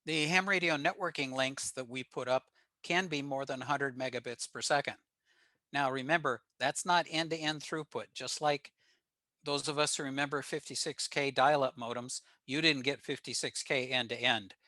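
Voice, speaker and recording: An older American man presents technical material informally. His voice is bright and forward, with a plain-spoken, explanatory manner. The sound is close and dry, with very little room in it. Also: mid-range voice, normal speed, no echo. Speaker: guest presenter at a radio club. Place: remote video call.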